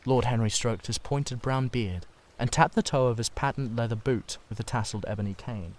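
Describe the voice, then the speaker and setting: A man's voice: mid-range voice, fairly quick, darkish tone. A man reading scripted literary narration as an audiobook narrator.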